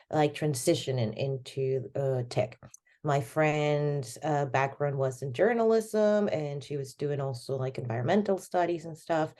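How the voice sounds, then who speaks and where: Guest, woman, 30s, from Venezuela; low, warm voice, loose rambling delivery. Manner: rambling, many fillers like "like" and "you know". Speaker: podcast guest. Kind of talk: casual conversational interview.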